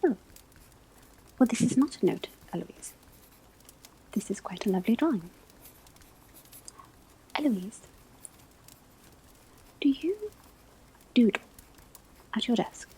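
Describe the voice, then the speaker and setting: A woman performing an ASMR classroom roleplay as a calm, formal witch school teacher, speaking softly and often dropping into a whisper. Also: fairly high pitch, a little hiss or hum, poor audio. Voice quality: soft, breathy, often whispered. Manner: measured, formal, precise phrasing. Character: calm, kindly but strict witch school divination teacher.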